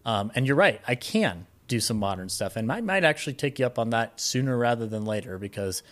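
A man's voice: mid-range voice, lively intonation, fairly quick, fairly bright tone. An American man from Colorado chatting casually as a podcast guest, talking in a rambling, enthusiastic film-buff way. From Colorado, United States. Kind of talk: casual interview chat about films and his life.

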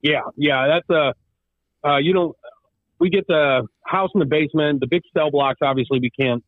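A man's voice: fairly high pitch, moderate pace, dry recording. A man interviewed as a guest over the phone, answering in a rambling way full of ums and restarts. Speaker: guest, haunted attraction owner. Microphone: phone call. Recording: tinny phone line.

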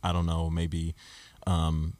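A man's voice: sits low, monotone, slow pace, a little mellow, no room sound. A man hosting a podcast discussion, talking reflectively in long explanatory runs. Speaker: podcast host. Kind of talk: casual discussion.

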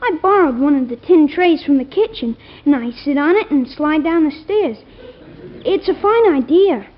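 A performer playing a polite, cheeky, deadpan young son in an old-time radio comedy. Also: high voice, normal speed. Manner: innocent, matter-of-fact cheekiness. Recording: dull old radio recording.

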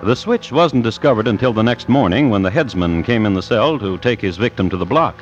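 An actor playing a deadpan, measured host who narrates dryly macabre crime tales on 1950s radio. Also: male voice, on the low side, soft background music, close to an everyday voice. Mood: wry, deliberate. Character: storytelling true-crime host, wry and deliberate. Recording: muffled old radio recording.

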